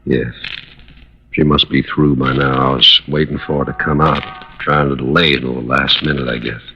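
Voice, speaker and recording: An actor in a 1950s radio drama playing a weary, heartbroken husband who speaks in a halting, resigned, wistful way. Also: male voice, rumbling low, steady tone, creaky. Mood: weary, pleading. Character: weary, heartbroken husband confessing to covering for his firebug wife. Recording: dull, noisy old radio recording.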